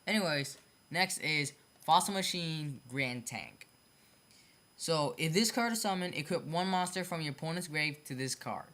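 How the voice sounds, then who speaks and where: Bright-voiced, stumbling card game explainer. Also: medium pitch, animated, normal speed. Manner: repetitive, self-correcting, stumbles over phrasing; apologetic about own mistakes. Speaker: hobby card game video creator. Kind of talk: casual off-the-cuff explainer of custom card designs.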